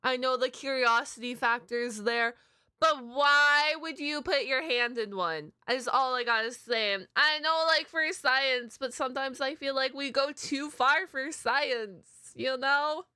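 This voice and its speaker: A woman's voice: sits high, measured pace, bright, forceful tone, clean tone, no echo, clean studio sound. A woman hosting a reaction video, commenting casually in a slangy, exclamatory way.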